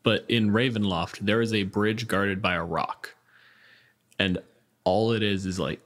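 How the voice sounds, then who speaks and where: A man's voice: on the low side, a bit bright. A man talking casually on a tabletop gaming panel, explaining and arguing his points in an informal way. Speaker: podcast panelist.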